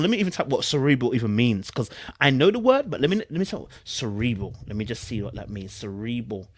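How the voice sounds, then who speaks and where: A man's voice: medium pitch, dry recording, clean studio sound. A man with a London accent hosting casual online commentary, reading aloud and reacting in informal, slangy speech with emphatic repetition. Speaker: YouTube commentary host.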